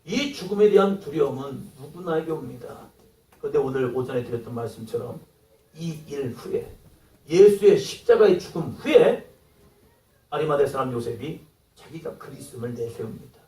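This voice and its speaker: Male pastor, sermon delivery, high and animated, plays out dialogue, distant mic in a reverberant hall. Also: normal speed. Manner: acts out quoted dialogue, rising to exclamations.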